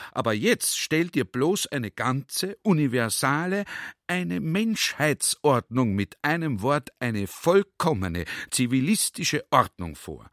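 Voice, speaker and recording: Garrulous general, dry close sound. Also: male voice, mid-range voice, fairly bright tone, no room sound. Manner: conversational, rhetorical, checks listener is following. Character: garrulous, earnest army general musing to a comrade. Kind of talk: radio play monologue.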